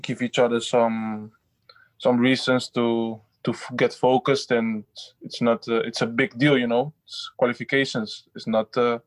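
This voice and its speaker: Guy footballer, halting answers, monotone, dry close sound. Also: medium pitch, dry recording. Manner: halting, restarts often, simple phrasing. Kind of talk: interview answers.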